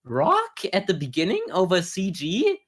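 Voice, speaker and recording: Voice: medium pitch, huge pitch swings, a little slow, a little hiss or hum. A podcast co-host talking casually through a review, with informal, sweary phrasing.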